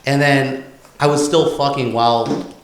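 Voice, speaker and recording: A man's voice: medium pitch, steady tone, measured pace. Young man, echoey room podcast banter. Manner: crude, profane, joking. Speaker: podcast co-host. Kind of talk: casual, unscripted podcast banter. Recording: echoey room with faint noise.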